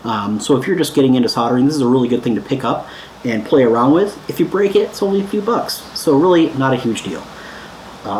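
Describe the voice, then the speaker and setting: A man's voice: medium pitch, darkish tone. A man talking casually and informally as he hosts a hands-on DIY electronics tutorial, easygoing and a little self-deprecating. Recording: echoey room, some background noise.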